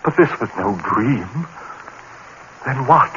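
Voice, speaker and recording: A man narrating a radio horror drama in the first person, frantic and haunted, with sudden jumps into high pitch. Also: expressive, slow, a bit bright. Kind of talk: 1930s radio horror acting. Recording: dull old radio broadcast with faint hiss.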